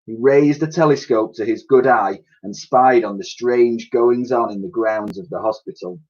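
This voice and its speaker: A man reading a children's story aloud in an animated, expressive storytelling style. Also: medium pitch, moderate pace, dull audio.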